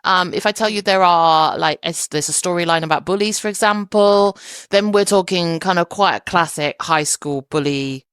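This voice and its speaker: A woman's voice: fairly low pitch, normal speed, a bit bright, smooth, dry recording. A woman guest on a podcast in casual chat, thoughtful and hedging, laughing easily.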